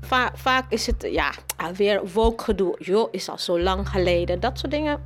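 A woman speaking as an interview guest, spontaneous, earnest and halting. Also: mid-range voice, expressive, a little hiss or hum.

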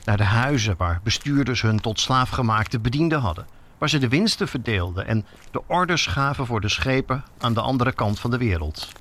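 A man's voice: medium pitch, fairly bright tone, a little hiss or hum. A man presenting as a reporter, narrating a scripted documentary in a reflective manner.

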